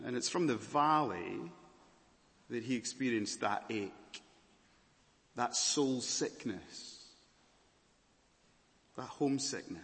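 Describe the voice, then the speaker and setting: A Scottish man preaching a sermon, earnest, moving between emphatic lines and hushed, slower ones. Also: mid-range voice, lively intonation, long reverb tail. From Scotland.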